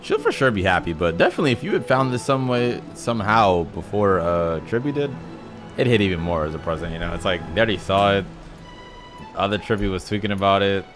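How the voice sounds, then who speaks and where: A man's voice: sits low, animated, fast, music in the background. A man doing casual, slangy reaction commentary on a game story in an irreverent, teasing way. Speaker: gaming streamer reacting to a story quest.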